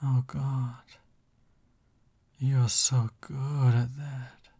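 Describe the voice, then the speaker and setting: Man playing a breathy, lovestruck villain boyfriend. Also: mid-range voice, level tone, full character voice. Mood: playful, affectionate. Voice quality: soft, breathy. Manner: slow, tender, bashful. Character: lovestruck, flustered supervillain boyfriend, soft and doting. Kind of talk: intimate ASMR boyfriend roleplay.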